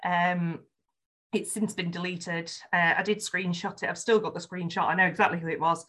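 A woman's voice: on the low side, fairly even intonation, moderate pace, pushed and bright. A woman with a Yorkshire accent, speaking frankly and earnestly as a podcast guest in a conversational interview, with frequent ums. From Yorkshire, England.

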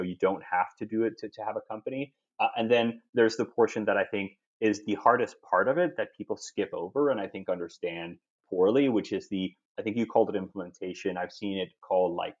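Low-voiced European man, thoughtful restarts. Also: dull audio. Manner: thoughtful, frequent restarts and repeated words. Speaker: guest. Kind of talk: podcast conversation.

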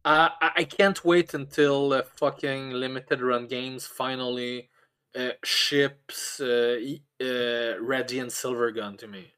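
A man co-hosting a casual podcast, talking at a middling pitch. He stammers and restarts words often and swears freely as he chats about games. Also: fairly even intonation, slow, sharp tone, smooth. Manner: casually profane. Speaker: podcast co-host. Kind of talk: casual video game chat.

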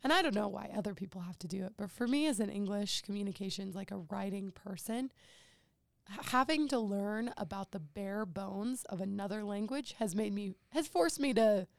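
A woman's voice: medium pitch, normal speed, creaky, no echo. A young woman in college chatting casually on a podcast, joking at her own expense and using lots of fillers. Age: young adult. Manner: chatty, self-deprecating, many fillers. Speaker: podcast co-host. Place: home room.

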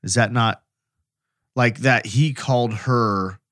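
A man co-hosting a podcast in casual chat, with halting phrasing. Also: mid-range voice, fairly bright tone, no echo, clean studio sound. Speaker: podcast co-host.

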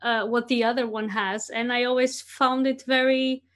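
A woman's voice: on the high side, flat delivery, normal speed, fairly bright tone. A woman in her thirties from Portugal, hosting a casual interview and talking earnestly in short reflective bursts. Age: 30s. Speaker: podcast host.

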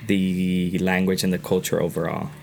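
A young man from California talking earnestly on a podcast, getting animated as he tells his own story. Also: deep voice, moderate pace, gravelly, a little hiss or hum. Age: young adult. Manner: earnest, animated when retelling. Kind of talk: casual podcast conversation.

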